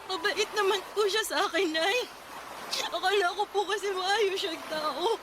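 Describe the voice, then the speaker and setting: A tearful, apologetic young daughter. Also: female voice, very high-pitched, a little slow. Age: young adult. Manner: pleading, apologetic. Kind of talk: radio drama acting. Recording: noisy background.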